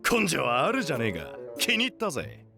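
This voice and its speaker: Brash, rough anime knight boss. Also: male voice, fairly high pitch, wildly swooping pitch, brisk, exaggerated voice. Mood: gruff, caring. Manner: brash delinquent-style speech, blustering. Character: gruff, ambitious young male knight boss, secretly soft-hearted. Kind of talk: Japanese anime voice drama acting. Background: background music.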